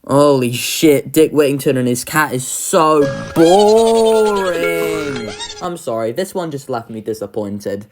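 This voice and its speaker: A woman's voice: low-pitched, animated, takes it slowly, over music. A woman giving casual, opinionated video commentary as a host, informal and sardonic in manner. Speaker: video host ranking children's shows.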